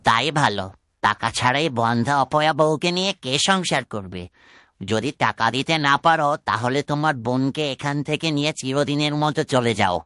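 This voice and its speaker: A man's voice: mid-range voice, normal speed, pushed and bright, smooth, dry recording, studio quality, natural voice. A man voicing a greedy, cruel husband who scolds his wife and demands dowry money, in a Bengali cartoon. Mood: harsh. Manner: harsh, scolding.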